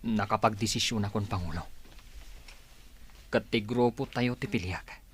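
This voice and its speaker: A man's voice: lowish voice, moderate pace, slight background noise, lightly acted. A man with an Ilocano accent acting as a cold, obedient father loyal to his leader in a radio drama, speaking firmly and dutifully. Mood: cold resolve.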